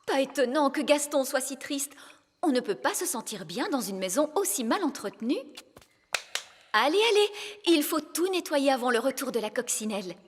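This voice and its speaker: A woman voicing a bossy, fussy fairy nanny in a French cartoon, with a brisk, theatrical, disapproving manner. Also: high-pitched, animated, slight background noise. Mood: disgusted, bossy.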